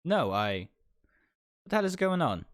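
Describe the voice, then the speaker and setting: A man's voice: on the high side, big pitch swings, unhurried, dry recording, pitched above the performer's own voice. A man voicing an uneasy, wary young male protagonist of a visual novel, bewildered and dry. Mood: alarmed. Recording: music behind the voice.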